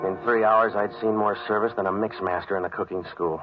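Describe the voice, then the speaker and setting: An actor playing a cynical, wisecracking private investigator in 1940s radio style, terse, deadpan and sardonic. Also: male voice, fairly low pitch, brisk, music in the background, lightly acted. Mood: wry, weary. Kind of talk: 1940s radio detective acting with first-person narration. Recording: dull, lo-fi old radio recording.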